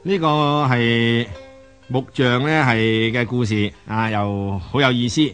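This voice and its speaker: A man's voice: mid-range voice, takes it slowly, fairly bright tone, faint music behind. A man with a Hong Kong Cantonese accent giving conversational radio commentary, rambling and colloquial, repeating words as he goes. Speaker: radio storytelling host and commentator.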